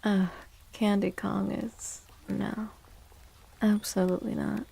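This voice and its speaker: Soft-spoken, slow woman with creaky voice. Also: medium pitch, little pitch movement. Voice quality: soft, breathy at times. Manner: gentle, unhurried, drifting into whispers. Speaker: livestream host. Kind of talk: soft-spoken ASMR livestream chat. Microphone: close microphone.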